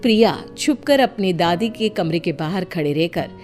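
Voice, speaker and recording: A woman narrates a scripted tale as storyteller. Her voice is on the low side for a woman. Music plays clearly behind her. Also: expressive, moderate pace, darkish tone.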